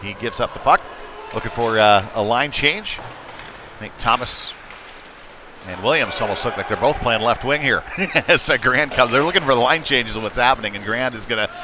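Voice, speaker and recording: A man's voice: medium pitch, expressive, normal speed. A man doing live play-by-play commentary of a youth hockey game in a conversational, somewhat hesitant way. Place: indoor ice hockey rink. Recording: noisy ice rink ambience. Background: clear rink noise and ambience.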